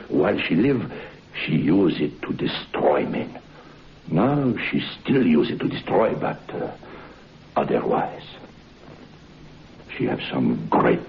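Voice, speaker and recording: An actor playing a grave Frenchman in a radio horror play, speaking haltingly and ominously. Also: low-pitched, heavily acted. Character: grave Frenchman recounting an evil woman's ghostly legend. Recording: muffled, noisy old radio recording.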